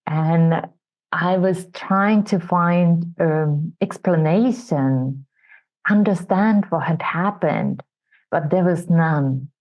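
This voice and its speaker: A woman from Finland with a Finnish accent, a guest on an interview show, sharing her personal story in a soft, reflective way. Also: lowish voice, slow, low-quality recording.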